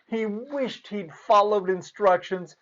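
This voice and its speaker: A man's voice: high-pitched, takes it slowly, a bit bright, highs cut off. A man presenting and reading aloud for children as a storyteller, playful and theatrical.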